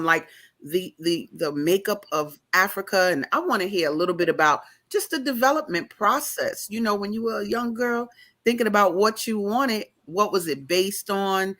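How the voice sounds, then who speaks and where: Bright-voiced New woman, rambling host. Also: medium pitch. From New York. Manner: rambling, animated, talks with her hands in long run-on questions. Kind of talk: casual interview question. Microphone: video call.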